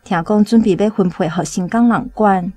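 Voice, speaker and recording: A woman's voice: mid-range voice, moderate pace, mellow, lightly acted. A woman with a Taiwanese accent playing a sorrowful young chieftain's daughter in a radio drama. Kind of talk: first-person radio drama monologue.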